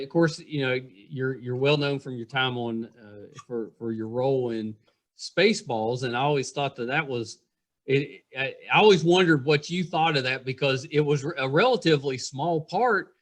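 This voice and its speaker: A middle-aged man chatting casually as an interviewer, agreeable and somewhat halting in how he phrases things. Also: medium pitch, a bit bright. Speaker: podcast host, interviewer.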